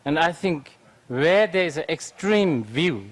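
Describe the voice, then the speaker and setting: A man's voice: on the high side, sing-song, takes it slowly. A man, a Buddhist teacher, answers audience questions in a lecture, thinking aloud with frequent fillers.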